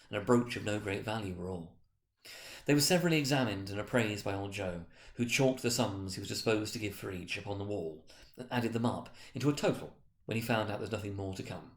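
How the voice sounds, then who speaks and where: A man narrating a classic story from a script as a dramatic reading. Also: on the low side. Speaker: reader and narrator.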